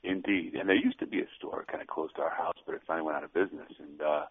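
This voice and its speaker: A deep-voiced man calls in to a radio show, gravelly and husky, speaking in halting bursts that trail off mid-sentence. He talks quickly. The phone line is tinny and dry. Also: dry recording. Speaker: radio caller. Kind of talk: casual phone-in chat.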